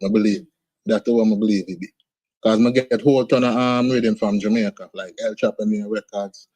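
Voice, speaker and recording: A man's voice: lowish voice, fairly even intonation, a little fast, warm tone, dry recording. A man with an Antiguan accent, talking casually as a guest artist in an interview, often ending lines with "man" and "you understand". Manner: laid-back, sprinkles speech with "man" and "you understand". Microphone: video call.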